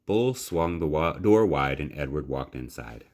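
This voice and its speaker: A man reading a children's story aloud in a measured, dramatic, expressive narration. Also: extremely low pitch.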